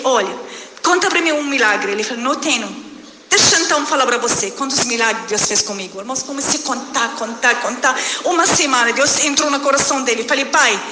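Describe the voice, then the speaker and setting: High-voiced woman. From Syria. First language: Arabic. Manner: fervent, halting non-native phrasing, repeatedly calling the congregation. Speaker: church member giving testimony. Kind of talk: emotional church testimony. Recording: echoey hall with background noise.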